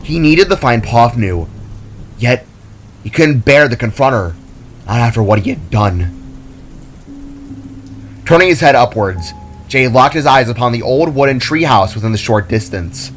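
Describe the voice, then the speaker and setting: A man's voice: medium pitch, expressive, a little slow, pauses often, a little hiss or hum. A man giving a dramatic scripted narration of a fantasy story, as its narrator. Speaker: author and narrator. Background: soft music.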